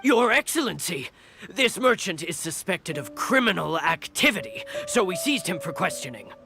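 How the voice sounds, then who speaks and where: An actor voicing a stern, zealous guard in a video game, interrogating in a formal, forceful way. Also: male voice, sits high, big pitch swings, normal speed, faint music behind, heavily acted. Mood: earnest, formal. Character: stern, zealous male island guard, accusatory.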